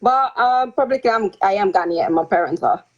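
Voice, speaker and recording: A young woman from the Netherlands, a guest author speaking softly and hesitantly with frequent ums in a casual interview over a video call. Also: medium pitch, lively intonation, a little fast. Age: young adult. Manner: soft-spoken, hesitant with frequent ums and light laughs. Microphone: video call audio. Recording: slightly dull lo-fi call audio.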